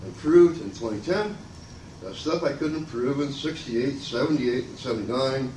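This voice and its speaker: An older man speaking loudly and abrasively in a rambling public comment at a meeting. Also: normal speed. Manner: loud and booming, abrasive, rambling and aggrieved. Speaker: member of the public giving comment. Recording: echoey room from far off, noisy.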